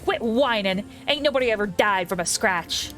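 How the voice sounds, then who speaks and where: A woman voicing a fierce, vulgar ancient grandmother, tiny but strong, with a gruff, crude, commanding manner. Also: mid-range voice, lively intonation, normal speed, fairly bright tone, faint music behind, close to an everyday voice. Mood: scornful, menacing.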